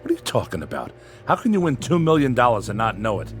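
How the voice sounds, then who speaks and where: An actor playing a driven, suspicious newspaper editor in a radio drama, curt, testy and quick to get defensive. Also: male voice, medium pitch, big pitch swings, natural voice. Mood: incredulous, wary. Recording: radio drama with music underneath.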